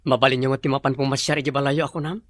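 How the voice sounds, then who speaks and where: A man playing a wealthy young father in an Ilocano drama, speaking gently and persuasively to his family. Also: mid-range voice, level tone, rapid-fire, no echo, natural voice. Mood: coaxing. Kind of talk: Ilocano radio-style drama acting.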